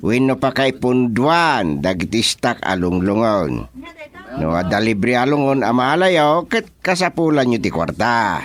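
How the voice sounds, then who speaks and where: A man's voice: mid-range voice, very animated, measured pace. A man with an Ilocano accent playing a scheming, persuasive village chairman in a comedy radio drama. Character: scheming village chairman planning a coffin business.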